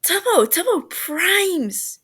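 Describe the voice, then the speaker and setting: A woman voicing an excitable, foul-mouthed young man in a story, loud and exclaiming, indignant but affectionate. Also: very high-pitched, slow, pitched above the performer's own voice, exaggerated voice. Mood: overwhelmed joy.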